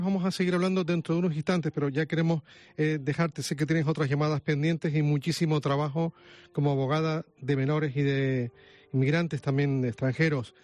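A man's voice: fairly high pitch, fairly even intonation, fairly quick, darkish tone, dry recording. Man, radio host, friendly, close dry studio sound.

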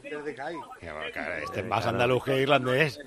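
A man hosting a live radio sports show, bantering with reporters and quickly running through scores and standings. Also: medium pitch, big pitch swings, a crowd in the background.

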